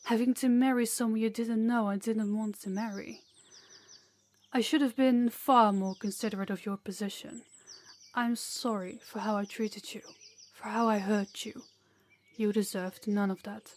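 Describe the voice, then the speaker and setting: Female actor as remorseful, gracious queen for fantasy audio roleplay; formal, measured, sincere, mid-pitched. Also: steady tone, a little mellow, natural voice. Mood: apologetic, earnest.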